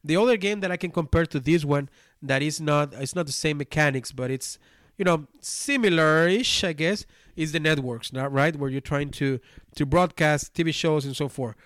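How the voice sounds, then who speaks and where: A man's voice: fairly high pitch, normal speed, fairly bright tone, dry recording, clean studio sound. A man whose first language is Spanish, speaking English with a Spanish accent as a podcast host, reviewing a board game in a casual, rambling way with many restarts and fillers.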